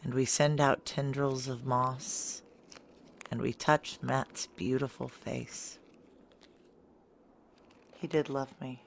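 An actress playing a fierce, grieving narrator in a sci-fi audio drama, low-voiced, deliberate and intense. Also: female voice, level tone, vocal fry, slight background noise, heavily acted. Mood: hushed, intense. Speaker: lead actor and narrator.

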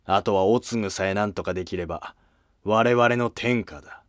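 A man's voice: fairly low pitch, normal speed, dry recording, clean studio sound, close to an everyday voice. A man playing an ambitious, scheming Heian courtier with formal, calculating period speech. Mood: plotting.

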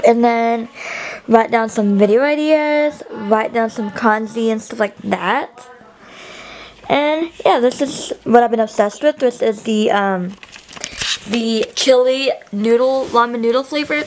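A woman's voice: fairly high pitch, measured pace, a little mellow, poor audio. A young woman vlogging casually in her bedroom, talking to a handheld camera held close to her face, rambling with lots of fillers and restarts. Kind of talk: casual vlog chat to camera. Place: small bedroom, indoors.

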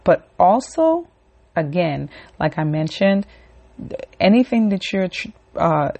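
A woman's voice: on the low side, lively intonation, slow pace, gravelly, darkish tone. A woman hosting her own podcast, talking casually and ramblingly with many fillers, then leading a short relaxation and affirmation. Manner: rambling, conversational, filler-heavy; leads a relaxation and affirmation segment. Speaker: podcast host. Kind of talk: casual solo podcast talk.

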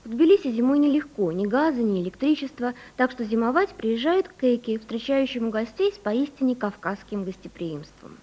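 Lively, high woman narrating a feature. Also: fairly quick, hardly any pauses. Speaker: interviewer and narrator. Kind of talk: scripted voice-over narration.

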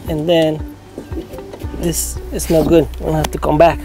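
Contractor, 30s, slightly high voice, lively and informal, recorded outdoors. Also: male voice, a little slow, over music. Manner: energetic, informal, demonstrating with tools. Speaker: roofing company owner. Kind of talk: on-site vlog walkthrough. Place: outdoors on a residential rooftop. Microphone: lapel.

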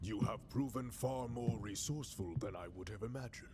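A man's voice: mid-range voice, normal speed, loud music behind the voice, lightly acted. Grandiose, imperious alien warlord played by a man. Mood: ominous judgment. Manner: solemn, grandiose pronouncements. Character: grave, imperious male alien warlord with a hammer. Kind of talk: animated video game cutscene acting.

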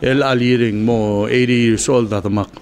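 Gravelly Palauan man, relaxed studio talk. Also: medium pitch, normal speed, a little hiss or hum. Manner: relaxed, rambling, many fillers and chuckles. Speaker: senator on a talk show. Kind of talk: casual radio talk, mixing two languages. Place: small decorated radio studio. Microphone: desk mic on a stand.

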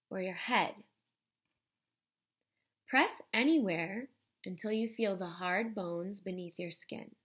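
Young woman teacher reading a picture book to kids, real slow and expressive, medium pitch. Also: vocal fry, husky. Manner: deliberate, expressive, addressing young listeners directly. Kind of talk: children's picture book read-aloud.

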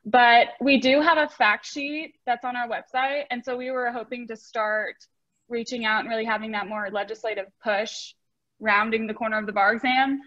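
A woman's voice: fairly high pitch, fairly even intonation, moderate pace, a bit bright. A woman in her twenties answering informally as a panelist on a video call. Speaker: panelist, law graduate. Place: room at home, on a video call. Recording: dull, lo-fi video-call audio.

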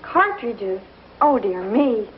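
An actress playing a prim, spirited young frontier schoolteacher in an old Western TV drama. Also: female voice, medium pitch, animated, slow, slight background noise. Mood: firm, proper. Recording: dull vintage TV soundtrack, music behind.

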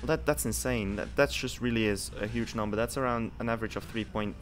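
A man's voice: mid-range voice, fast talker, slight background noise. Chatty young man from Belgium streaming. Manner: chatty, repeats himself, reads game text aloud. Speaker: game streamer. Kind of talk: casual live-stream commentary.

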